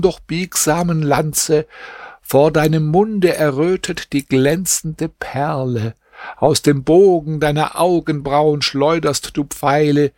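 A man's voice: on the high side, a little mellow, dry recording, clean studio sound, voice pushed up. A man raising his voice as a coaxing old woman. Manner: coaxing, pleading storyteller's delivery. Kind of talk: audiobook reading.

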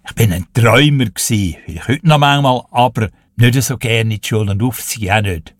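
A man's voice: mid-range voice, normal speed, dry recording, clean studio sound. An elderly Swiss German man in his eighties, a radio guest telling anecdotes from his life in a chatty, storytelling way. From Switzerland. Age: 80s. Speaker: radio show guest and music presenter. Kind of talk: casual interview storytelling.

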